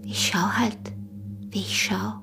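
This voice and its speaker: Guarded, teasing young peasant wife, Austrian accent, breathy murmurs and sharp retorts, radio drama with clear music underneath. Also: female voice, medium pitch, fairly even intonation, slow pace, whispered. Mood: teasing, hushed. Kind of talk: intimate radio drama acting.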